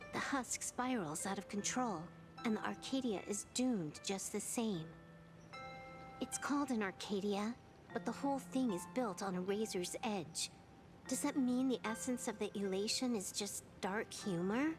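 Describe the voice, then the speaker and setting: An actress voicing a sharp-tongued young woman in a video game, proud and wry yet guarded about her soft heart. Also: mid-range voice, normal speed, music in the background, natural voice. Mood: frustrated, anxious. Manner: wry, teasing, emotionally guarded. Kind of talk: scripted video game voice acting.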